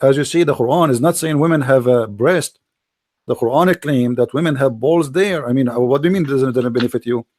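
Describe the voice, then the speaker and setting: A man's voice: medium pitch, fairly quick, darkish tone. A middle-aged man whose first language is Arabic hosts a heated debate, talking in a sarcastic, mocking way and often saying "my friend". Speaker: debate host.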